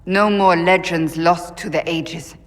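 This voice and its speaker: An actress playing a stern, earnest, devout warrior woman in a video game, speaking formally and with measured care. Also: lowish voice, fairly even intonation, big-room sound, lightly acted. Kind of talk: video game cutscene acting.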